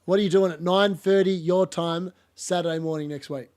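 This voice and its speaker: A man's voice: sits high, fairly even intonation, fairly quick, darkish tone. A man hosting a casual football podcast, rambling and laughing often, still getting over a cough. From Australia. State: lingering cough. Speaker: podcast host.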